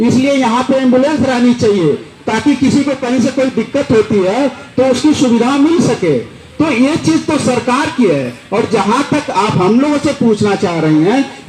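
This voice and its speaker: A middle-aged man with a high voice speaks to reporters at an outdoor sit-in, earnest and measured as he pleads with the government. His words ring with a roomy echo around him. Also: darkish tone. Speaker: state president of an employees' association. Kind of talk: speaking at a protest site to reporters.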